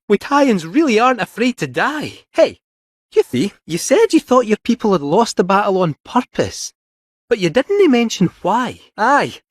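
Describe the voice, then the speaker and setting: A small, wily robot cat from a video game, chattering in a Scottish accent. The delivery is theatrical and folksy, with pitch that leaps about in a lively way. It is recorded very dry, with no room sound. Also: male voice, no room sound, full character voice. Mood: hesitant, earnest. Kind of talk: scripted video game character dialogue.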